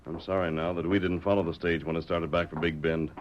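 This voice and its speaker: A man's voice: deep voice, flat delivery, fast, natural voice. An actor playing a resolute masked lawman hero in an old radio western, earnest and decisive. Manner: earnest, decisive, crisp diction. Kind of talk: 1940s radio western acting. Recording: dull, noisy vintage radio recording.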